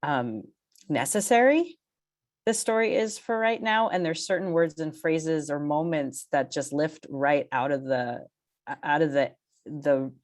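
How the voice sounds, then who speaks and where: Woman with a low voice talking theatre on a panel, earnest, kinda searching for words. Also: darkish tone. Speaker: theatre artistic team member. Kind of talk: reflective panel discussion talk.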